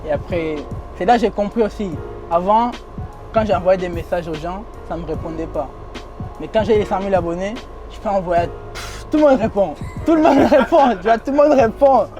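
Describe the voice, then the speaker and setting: A man's voice: high voice, moderate pace, music in the background. A young man with a foreign accent who did not grow up speaking French, talking casually in slang in an interview inside a limousine. He repeats phrases and laughs often.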